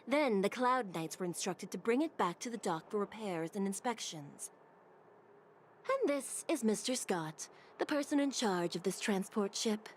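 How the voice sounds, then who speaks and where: A woman's voice: medium pitch, expressive, unhurried, slight background noise, lightly acted. An actress playing a firm, dutiful commission official in a video game, formal and insistent as she explains. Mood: explaining.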